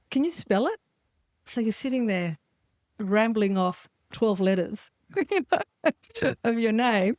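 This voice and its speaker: Animated Australian woman telling stories. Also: fairly high pitch, slow pace, pauses often, no room sound, clean studio sound. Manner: animated anecdotes, laughs often, acts out quoted voices. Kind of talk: casual storytelling chat.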